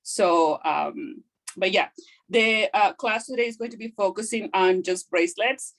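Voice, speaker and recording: A woman's voice: medium pitch, a little slow, gravelly. Woman teaching a craft. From Guatemala. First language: Kaqchikel. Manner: hesitant, explanatory, frequent fillers. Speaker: craft instructor. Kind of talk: hands-on teaching. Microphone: video call.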